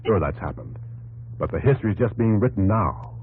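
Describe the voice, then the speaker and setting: A man's voice: lowish voice, animated, normal speed, background noise. An American man narrating a 1950s radio documentary-drama in an earnest, dramatic yet conversational manner. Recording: vintage radio broadcast, narrow band, loud music.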